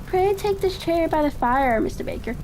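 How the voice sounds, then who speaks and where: A woman voicing a self-assured, genial gentleman detective in a storybook reading, politely and with measured phrasing. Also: extremely high pitch, lively intonation, pitched above the performer's own voice. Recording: faint crackling ambience behind.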